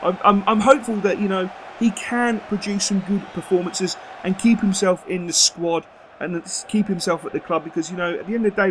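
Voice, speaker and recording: A man's voice: high-pitched, slight background noise, rough recording. A young man commenting on his own football video game career, emphatic and prone to repeating words for stress. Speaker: gaming video host. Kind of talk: gameplay commentary.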